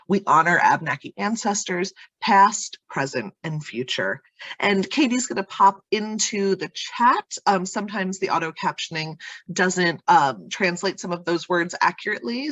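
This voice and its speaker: Young woman, workshop host on a video call, stuffed-up nose, lower voice with a crisp bright edge, dry close sound. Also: no echo, rough recording. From Vermont, US. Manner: friendly, relaxed, many ums. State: stuffed up from a cold. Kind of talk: welcoming online workshop talk. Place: sunroom at home. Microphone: video call from home.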